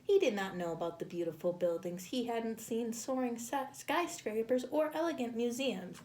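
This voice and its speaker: A woman reading a picture book aloud to children, expressively and with deliberate phrasing, as a storyteller. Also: a little high, a little mellow, a little hiss or hum.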